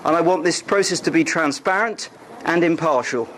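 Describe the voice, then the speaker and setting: A man's voice: fairly high pitch, normal speed, a bit bright. Furious man ranting, noisy recording. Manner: angry, accusatory ranting. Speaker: student complaining about his grade. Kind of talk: heated confrontation in a lecture.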